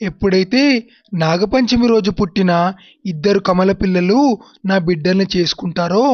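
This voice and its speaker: A caring father from a storybook cartoon, acted out. He talks gently and in a measured way, on a dull, dry recording. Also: mid-range voice, no room sound, close to an everyday voice. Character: caring father of two grown daughters.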